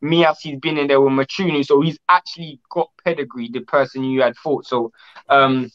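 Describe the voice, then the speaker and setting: Bright-voiced man on a video call. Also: mid-range voice, fairly even intonation, normal speed, muffled recording. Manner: chatty, talks in restarts and long lists. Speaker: co-host. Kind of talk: video-call boxing interview.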